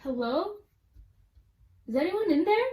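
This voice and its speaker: A woman's voice: extremely high pitch, sing-song, unhurried, warm tone, exaggerated voice. A woman playing a practical, questioning studio worker in a radio play. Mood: concerned.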